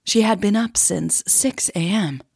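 Slow, husky, breathy woman storyteller. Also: mid-range voice. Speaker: podcast host and narrator. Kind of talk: audio drama storytelling with informal podcast intro.

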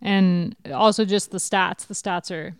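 A woman's voice: mid-range voice, fairly even intonation, measured pace, smooth, no echo, studio quality. A woman co-hosting a cycling podcast, chatting enthusiastically and filling her talk with "like". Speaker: podcast co-host. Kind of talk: casual cycling chat.

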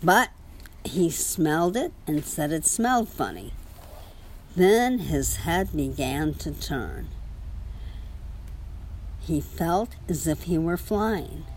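A woman's voice: low-pitched, expressive, slow pace, long pauses, a little mellow, a little hiss or hum, rough recording. A woman reading a children's story aloud to a child, with casual asides.

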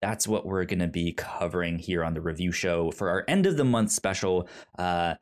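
A man hosting a casual podcast chat, talking in a halting way with many fillers and stammered restarts. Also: sits low. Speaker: podcast host.

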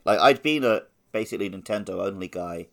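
A man's voice: fairly low pitch, lively intonation, brisk, a bit bright. A man co-hosting a podcast, talking in a casual, conversational way with lots of "like". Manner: conversational, peppers talk with "like". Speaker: podcast host.

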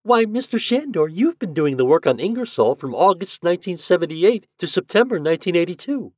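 A man reading a story, voicing a brisk, helpful young female records clerk. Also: high voice, very animated, a little mellow, no echo, studio quality, voice pushed up.

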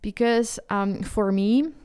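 Slow, smooth-voiced woman with Ukrainian accent. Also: medium pitch, a little mellow. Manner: thoughtful, searching for words, repeats herself. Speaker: podcast host. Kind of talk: solo talk about language.

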